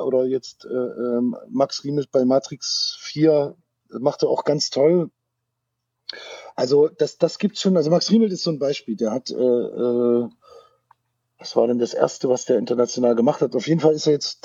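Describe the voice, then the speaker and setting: A man's voice: medium pitch, darkish tone, slightly rough, dry recording, highs cut off. A male actor, a guest in a casual interview, talking candidly and searching for his words over a call.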